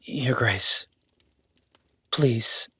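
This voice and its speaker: A man plays a careful, respectful warrior duke with a husky edge and a dry, close sound. He speaks in a measured, deferential way, as in a fantasy audio drama. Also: mid-range voice, bright, forceful tone, no room sound, in a whisper, full character voice. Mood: strained deference. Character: deferential, cautious warrior duke, leader of a pack.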